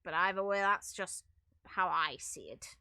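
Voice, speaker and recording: A woman's voice: fairly low pitch, lively intonation, measured pace, bright, forceful tone, no room sound. A woman doing casual, rambling gaming commentary in a frank, casually sweary way, like a streamer talking to viewers. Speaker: game streamer.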